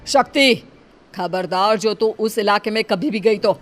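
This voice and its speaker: A woman's voice: mid-range voice, measured pace, faint music behind, close to an everyday voice. A woman voicing a stern, protective guardian. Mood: scolding. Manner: scolding, commanding. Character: stern adult transgender guardian, protective and strict. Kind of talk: cartoon story voice acting.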